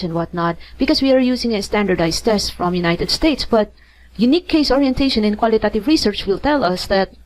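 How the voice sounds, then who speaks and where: A woman's voice: medium pitch, rough recording. A woman from the Philippines with a Filipino accent, giving a conversational lecture, thinking aloud with restarts and tag questions. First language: not English. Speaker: teacher. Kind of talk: online lecture.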